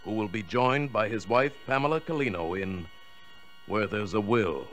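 A man's voice: lowish voice, expressive, moderate pace, fairly bright tone, faint music behind. A man announcing a suspense programme in dramatic old-time radio style. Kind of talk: dramatic 1940s-50s American radio announcing.

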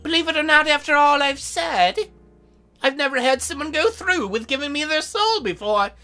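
Woman voicing a cutesy high-pitched young demon girl. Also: animated, moderate pace, bright, forceful tone, faint music behind. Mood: sulky. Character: young female demon soul-buyer, polite but sulky and lonely. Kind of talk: visual novel character read aloud.

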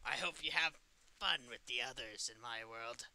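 A man acts out a mischievous evil puppet in a game roleplay. The voice is bright and sharp, with theatrical, playful villain talk and a touchy, vengeful streak. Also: mid-range voice, normal speed, low-quality recording. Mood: smug.

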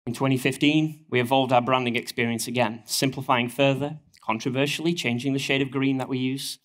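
A man in his thirties with a northern English accent, giving a conference presentation from a lectern on a headset mic while getting over a cold. His delivery is steady and explanatory, with frequent ums and uhs. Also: mid-range voice, brisk. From northern England. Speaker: conference speaker. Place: stage of a conference hall, at a lectern.

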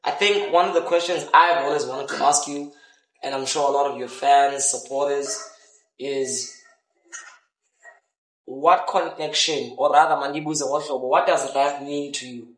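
Interviewer, measured, roomy echo. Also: male voice, mid-range voice. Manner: measured, steady questions with frequent soft backchannels. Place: outdoors by a brick building porch.

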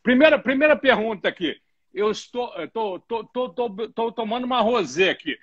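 A man's voice: very high-pitched, expressive, normal speed, fairly bright tone. A middle-aged man hosting a live interview from home, chatty and joking and stumbling over his words. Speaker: host. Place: home room, indoors. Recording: muffled lo-fi live stream.

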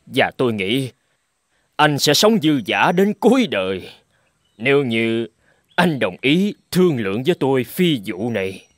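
A man playing a big, crafty fur trader in an animated folk tale, with a measured, drawling, persuasive delivery. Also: mid-range voice, dry recording. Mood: sly, flattering. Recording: music behind the voice.

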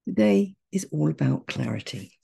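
A woman guiding a meditation in a soft, breathy, soothing voice, gentle and measured with long pauses. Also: low-pitched, very animated. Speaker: meditation guide. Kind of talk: guided meditation, calm and soothing.

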